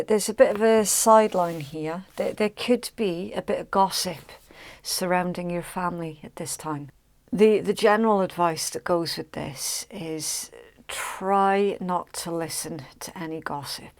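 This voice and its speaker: A woman with a slightly raspy voice, chatting casually through a tarot reading with many ums and restarts. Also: fairly low pitch, no room sound. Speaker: tarot reader.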